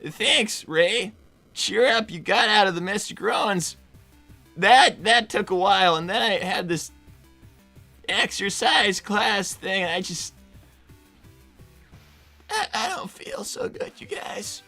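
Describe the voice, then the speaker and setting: Someone playing a stammering, creaky-voiced teenage fox boy. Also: mid-range voice, lively intonation, takes it slowly, pauses often, sharp tone, soft background music, exaggerated voice. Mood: weary, flustered. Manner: hesitant, stammering. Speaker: live stream host reading donations. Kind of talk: improvised in-character livestream.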